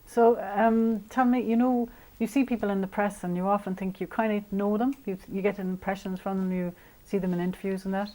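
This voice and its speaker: A woman's voice: medium pitch, fairly even intonation, a little fast, few pauses, dark tone, low-quality recording. A woman interviewing a guest, encouraging him with short prompting questions. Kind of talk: conversational interview.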